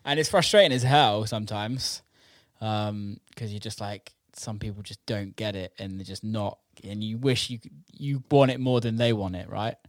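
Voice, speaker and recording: A man's voice: lowish voice, normal speed, no echo, clean studio sound. A man hosting an interview show, chatting in an informal, slangy way. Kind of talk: casual conversational interview.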